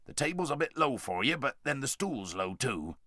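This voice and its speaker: A man voicing a brisk, kindly red dwarf who talks in a bossy, bustling way with loud exclamations. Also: medium pitch, very animated, no room sound, studio quality, pitched above the performer's own voice.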